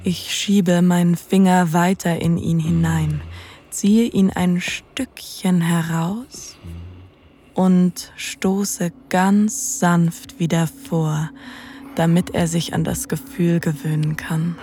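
A low-pitched, mellow female voice from an actress playing a dominant, sensual lover in an erotic audio drama. She narrates intimately and unhurriedly, breathy and often close to whispering, with a teasing edge. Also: level tone, slow pace. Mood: sensual, slow. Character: seductive, dominant adult woman lover. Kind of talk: intimate first-person scripted narration.

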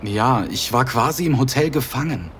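A man's voice: mid-range voice, expressive, moderate pace, close to an everyday voice. An actor playing a hesitant, self-conscious businessman in an erotic audio drama, over faint background noise. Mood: polite, shy.

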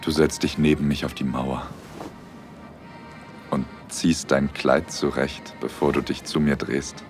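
A young romantic man in an intimate audio drama, dreamy and a little shy. His voice is very low, telling the story in the first person over music. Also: level tone, measured pace. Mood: dreamy, tender.

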